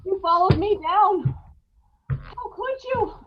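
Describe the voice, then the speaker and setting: A high-voiced woman in an old-time radio horror drama, desperate and wracked with guilt. She pleads in broken phrases and cries out, and the recording is dull and lo-fi. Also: animated, slow pace, slight background noise, exaggerated voice. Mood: frightened pleading.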